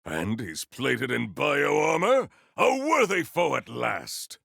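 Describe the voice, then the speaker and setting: Gravelly, grandiose warrior. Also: male voice, mid-range voice, very animated, slow, a bit bright, no room sound, clean studio sound, full character voice. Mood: determined. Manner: formal, archaic, grandiose phrasing. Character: proud, honourable warrior with solemn, grandiose temper. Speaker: video game voice actor. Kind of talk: theatrical video game character lines.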